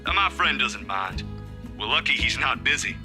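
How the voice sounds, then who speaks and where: A man's voice: medium pitch, expressive, normal speed, close to an everyday voice. An actor playing a friendly, easygoing young man in a visual novel game. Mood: easygoing, reassuring. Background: game music with guitar.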